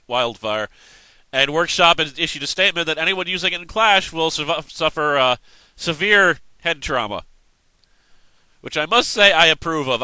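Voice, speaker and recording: A man's voice: a little high, sharp tone, no room sound, low-quality recording. A young man with a congested voice, casually rambling through a game news roundup with self-corrections and joking asides. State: congested, just woke up. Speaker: host giving game news. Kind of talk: casual commentary.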